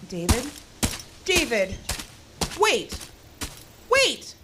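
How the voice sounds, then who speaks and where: A woman's voice: on the high side, wildly swooping pitch, extremely slow, heavy background noise, full character voice. An actress playing an anxious, determined woman investigator in a radio drama. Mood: alarmed.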